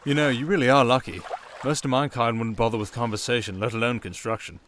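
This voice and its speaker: A man with a British accent playing a sardonic, softly dangerous male siren in an ASMR roleplay, with dry, theatrical sarcasm. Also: medium pitch, steady tone, fast, slight background noise, close to an everyday voice. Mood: wry, resigned.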